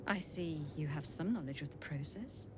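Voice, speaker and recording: A woman's voice: low voice, animated, normal speed. A woman playing a haughty, clinical alien infirmary healer in a fantasy video game, coldly curious and condescending. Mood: coolly curious. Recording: music behind the voice.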